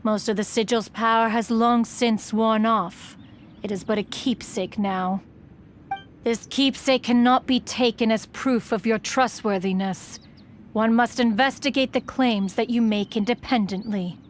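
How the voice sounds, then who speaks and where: A woman's voice: a little high, steady tone, unhurried, a little hiss or hum, natural voice. A woman voicing a proud, aloof, ancient adeptus in a fantasy video game, speaking in formal, archaic, imperious phrases. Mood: stern, grave. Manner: imperious, formal archaic diction, refers to self as one. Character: proud, aloof, ancient female adeptus spirit, haughty recluse.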